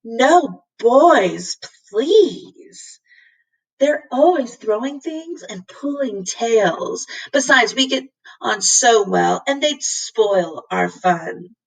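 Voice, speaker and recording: A woman voicing a sly, flattering male cat creature in a storybook, with a theatrical, gushing and wheedling manner. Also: on the high side, drawn-out words, vocal fry, husky, distant mic, voice pushed up, full character voice, rough recording. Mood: alarmed pleading.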